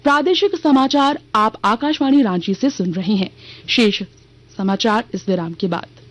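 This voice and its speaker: A woman reads a scripted regional radio news bulletin in a formal, measured manner. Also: mid-range voice, background noise. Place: radio studio.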